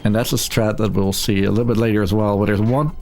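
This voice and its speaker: A man's voice: lowish voice, steady tone, fast, darkish tone, faint music behind. A man giving casual, tongue-in-cheek live game commentary as a speedrunner at a marathon.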